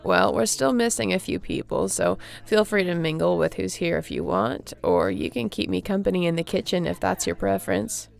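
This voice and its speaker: A woman playing a friendly, easygoing young surfer girl in an audio roleplay, chatting casually and welcomingly with a creaky voice and laid-back slang. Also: lowish voice, expressive, normal speed, few pauses, faint music behind. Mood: cheerful, hospitable.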